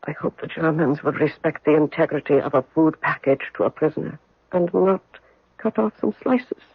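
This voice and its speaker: An actress playing a devoted, resourceful general's wife in an old-time radio drama, with an earnest, measured manner. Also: female voice, low-pitched, husky. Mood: practical, busy. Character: devoted, resourceful French general's wife, anxious but practical. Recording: tinny, band-limited old radio broadcast, music.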